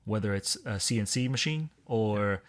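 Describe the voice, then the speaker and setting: A man from Hong Kong, a podcast guest in casual conversation, explaining things in a measured way. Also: lowish voice.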